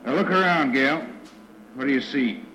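Folksy train conductor, echoey old radio. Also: male voice, mid-range voice, lively intonation, moderate pace, pushed and bright. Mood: gruff, impatient. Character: train conductor, courteous then gruff enforcer of segregation. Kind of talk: 1940s radio drama acting. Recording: echoey, muffled, noisy old radio recording.

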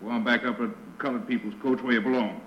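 An actor playing a folksy, commanding train conductor who enforces segregation in a 1940s radio drama. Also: male voice, medium pitch, fairly even intonation, quick pace, close to an everyday voice. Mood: gruff, impatient. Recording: echoey, muffled, noisy old radio recording.

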